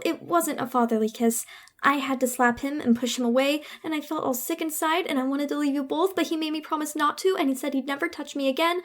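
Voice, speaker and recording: Woman playing a high-voiced, dramatic teenage girl. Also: close to an everyday voice. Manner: emotional, theatrical. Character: resentful sixteen-year-old girl, slyly playing hurt innocent. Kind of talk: melodramatic old-time radio acting.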